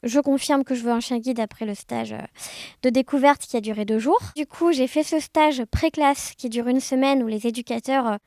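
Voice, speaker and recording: High-pitched young woman, expressive. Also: normal speed, no room sound, clean studio sound. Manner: animated, expressive, uses sound words. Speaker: podcast guest. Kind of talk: casual conversational storytelling.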